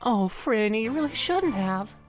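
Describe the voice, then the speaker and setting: Giggly dark-matter jester man, voiced by a woman. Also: on the high side, expressive, normal speed, faint music behind, natural voice. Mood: sweetly mocking. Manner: giggly, singsong teasing. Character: impish male jester made of dark matter. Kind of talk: fan audio drama.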